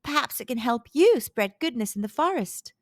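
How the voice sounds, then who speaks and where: A woman voicing a small, kind-hearted young male squirrel from a children's storybook, speaking in an earnest, gentle manner. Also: on the high side, animated, normal speed, no echo, studio quality, natural voice. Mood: kindly.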